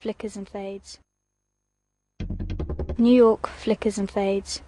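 A woman's voice: mid-range voice, level tone, warm tone. Woman narrating poetry over music. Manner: measured, evocative delivery with short fragmented lines.

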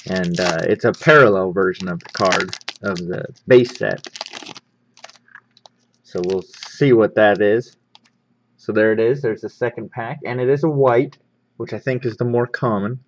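A man's voice: on the low side, measured pace. A man hosting a casual unboxing video with an upbeat, easygoing manner, talking through what he handles. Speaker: host of a sports card pack-opening show. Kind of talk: casual hands-on unboxing commentary. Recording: slightly dull, lo-fi microphone.

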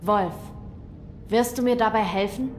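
A young lordly boy voiced by a woman speaks gravely and formally in an audio drama. He sounds earnest and resolute, with long reverb around him and faint rumble behind. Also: unhurried, close to an everyday voice.

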